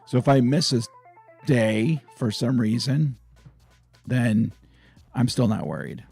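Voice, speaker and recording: A hoarse man hosting a sports fan channel, chatting casually and excitedly about his team's week. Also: medium pitch, slow pace, faint music behind. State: hoarse, raspy voice. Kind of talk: casual fan vlog chat.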